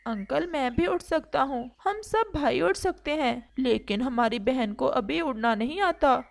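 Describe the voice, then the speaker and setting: A woman's voice: high voice, animated, unhurried, vocal fry, full character voice. A woman voicing a small, anxious crow chick in a cartoon story, childlike and pleading. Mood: eager. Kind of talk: Hindi cartoon story acting.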